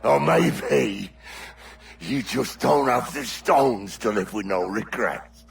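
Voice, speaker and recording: An actor playing a vicious, mocking, unhinged pirate captain with a gravelly voice in a dramatic video game cutscene. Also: male voice, medium pitch, slow. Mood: sneering, taunting.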